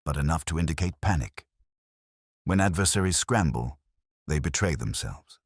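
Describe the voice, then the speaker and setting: A man's voice: rumbling low, animated, moderate pace, no room sound, studio quality, full character voice. A man voicing a pompous, brooding older detective who speaks in formal, clipped, coldly precise sentences in an audiobook story. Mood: measured, correcting. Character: pompous, imperious older male genius detective, terse and brooding.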